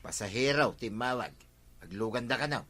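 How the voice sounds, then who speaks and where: Male actor as chummy, scheming tricycle driver, Ilocano accent, forward bright tone, faint music behind. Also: mid-range voice, animated, normal speed, close to an everyday voice. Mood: evasive. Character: tricycle driver, easygoing scheming drinking buddy. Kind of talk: comedy radio-style drama acting.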